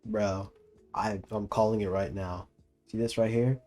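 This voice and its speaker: A man's voice: fairly low pitch, fairly even intonation, unhurried, a little mellow, faint music behind. A young man giving casual, slangy and joking commentary as he streams a game. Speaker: gaming streamer.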